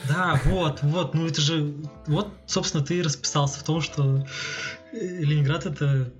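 A man's voice: on the high side, steady tone, moderate pace. A man co-hosting a casual discussion, arguing his point while thinking aloud. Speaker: podcast co-host. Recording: muffled sound with music behind. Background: music.

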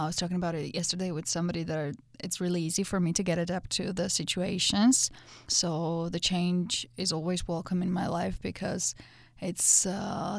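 A woman's voice: on the low side, dry recording, studio quality. A woman, musing thoughtfully as an interview guest. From Georgia. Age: 20s. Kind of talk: casual interview answers.

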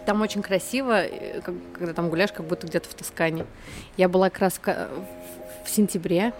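A woman's voice: lowish voice, a little fast, music in the background. A woman talking casually as a guest in a conversational interview. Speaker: podcast guest, city guide blogger.

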